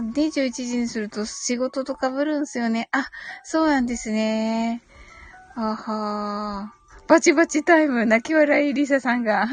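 A woman with a fairly high voice hosts a casual live stream. She reads out listener comments and answers politely, agreeing often with small murmured responses. Music plays behind her as she talks. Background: background music.

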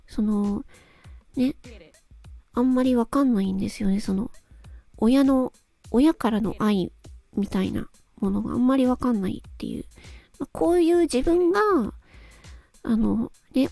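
A woman with a fairly high, soft-toned voice talks to her listeners in an unhurried, reflective monologue. She is polite and gentle, often hesitating as she thinks things through. Music plays behind her. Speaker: solo host, amateur voice actress. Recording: close dry recording with background music. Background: background music.

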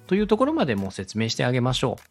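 A man's voice: medium pitch, wildly swooping pitch, rapid-fire, soft background music. A man hosting an instructional podcast, explaining things politely and step by step to a co-host. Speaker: host, radio director teaching podcast production.

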